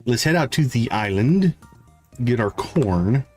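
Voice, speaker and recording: A man gives casual live gaming commentary at an unhurried pace, his pitch moving around in a lively way. He thinks aloud in short fragments and talks to his viewers, with a slight catch in his throat. Faint game music plays behind him. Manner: chatty, addresses viewers. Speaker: livestream host, gamer.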